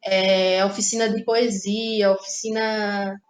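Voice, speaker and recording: A woman's voice: mid-range voice, little pitch movement, slow pace, rough recording. A woman with a northeastern Brazilian accent from Ceará, speaking reflectively as an interview guest and often checking for agreement with small tag questions. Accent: Cearense northeastern Brazilian. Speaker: interview guest, playwright and actress. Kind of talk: conversational interview.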